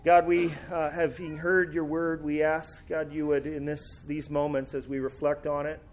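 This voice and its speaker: A high-voiced pastor with a cold. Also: male voice, moderate pace, a little hiss or hum. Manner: relaxed, conversational, with fillers and restarts. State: sore throat and a cold. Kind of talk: sermon with scripture reading. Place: church sanctuary.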